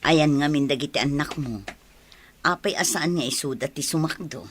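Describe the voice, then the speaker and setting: A woman's voice: low-pitched, normal speed, a little hiss or hum, lightly acted. Woman acting as kind, concerned village wife. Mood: kindly. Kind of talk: Filipino radio drama acting.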